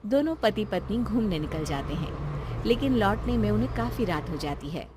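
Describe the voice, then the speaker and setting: A woman's voice: mid-range voice, big pitch swings, normal speed, noisy background. A woman reading scripted story narration.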